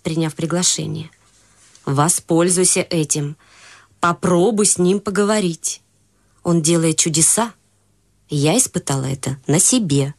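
An actress playing a fussy, bossy wealthy widowed mother in a radio play. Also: fairly low pitch.

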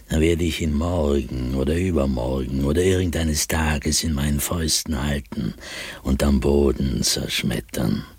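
An actor playing a haunted, fearful diarist sliding into madness in a dramatic, intense radio drama monologue, at times breathy and whispering. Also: male voice, a bass voice, a little mellow, dry recording, heavily acted. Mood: vengeful, feverish.

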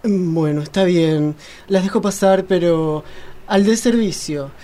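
A woman's voice: lowish voice, takes it slowly, warm tone. A woman playing a stern, formal male hotel night porter in a radio drama. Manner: formal, strict.

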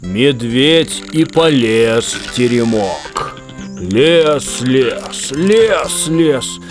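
A man narrating a children's fairy tale in an expressive, theatrical storytelling style. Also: mid-range voice, drawn-out words. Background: children's music.

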